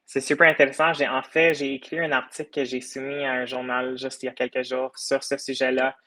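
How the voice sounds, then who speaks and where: An earnest young man from the United States talks through his ideas in a relaxed interview. His voice is bright and forward. He often hesitates with fillers before pushing on. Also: mid-range voice, a little fast. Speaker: podcast creator, guest. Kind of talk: casual interview talk.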